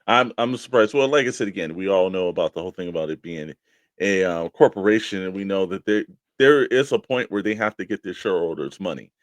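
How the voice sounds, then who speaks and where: A man's voice: low voice, brisk, no echo. A man co-hosting a hobby show, talking casually and explaining his opinions at length. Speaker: co-host of a toy collecting show.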